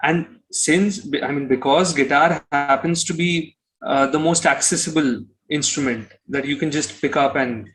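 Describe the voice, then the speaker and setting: A man's voice: fairly high pitch, unhurried, fairly bright tone, low-quality recording. A young man with an Indian accent answering casually as an interview guest, explaining things reflectively. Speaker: interview guest, singer-songwriter.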